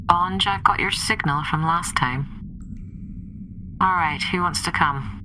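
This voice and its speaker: A woman playing a calm, cautious starship commander in a tabletop game, with a clipped, commanding manner. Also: on the low side, a little slow, noisy background, voice pushed up, close to an everyday voice. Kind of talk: tabletop roleplay in character.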